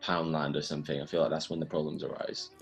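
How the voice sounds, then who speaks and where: British male co-host, deep and slightly rough-edged, talking casually on a podcast. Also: flat delivery, fast talker, faint music behind. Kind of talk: casual chat.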